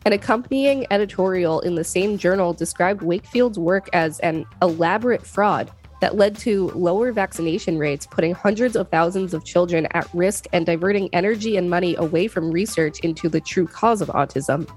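A woman's voice: lowish voice, normal speed, few pauses, darkish tone, soft background music. A woman reading an encyclopedia article aloud as a scripted narration.

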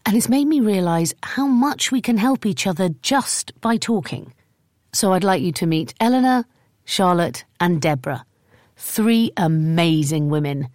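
A woman hosting a podcast, chatting warmly with guests on a video call and laughing easily. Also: on the low side, expressive, measured pace, no room sound, clean studio sound. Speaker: podcast host. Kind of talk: podcast intro and group chat over video call.

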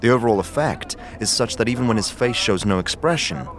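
A man narrating an audio drama in a steady, descriptive storytelling style. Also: fairly low pitch, brisk, crowd noise. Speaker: narrator and producer. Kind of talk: scripted narration. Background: faint music.

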